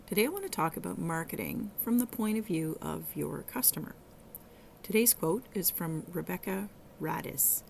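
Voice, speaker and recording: A middle-aged woman with a hoarse, rough voice hosts a solo teaching podcast, coaching listeners in a conversational way with some halting restarts. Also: on the low side, lively intonation, darkish tone, a little hiss or hum. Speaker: podcast host and virtual assistant coach.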